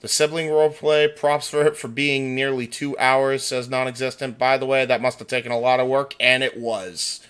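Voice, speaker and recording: A man's voice: medium pitch, flat delivery, moderate pace, hardly any pauses, fairly bright tone, smooth. A man co-hosting a livestream over a voice call, chatting informally and reading out comments with some swearing. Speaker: livestream co-host. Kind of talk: casual chat, reading out viewer comments.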